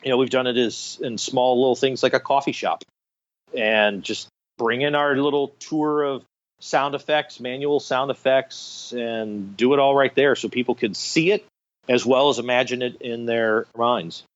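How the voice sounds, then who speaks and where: A man's voice: medium pitch, steady tone, moderate pace, muffled recording. A middle-aged man telling anecdotes enthusiastically as an interview guest, in a conversational storytelling style. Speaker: guest, radio theater director.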